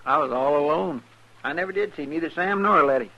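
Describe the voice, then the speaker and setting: An actor playing a guileless, good-natured deputy with a twangy rural Western American drawl in an old radio show. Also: male voice, a little high, fairly quick, vocal fry. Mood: amiable. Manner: drawling, folksy. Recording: muffled old radio broadcast.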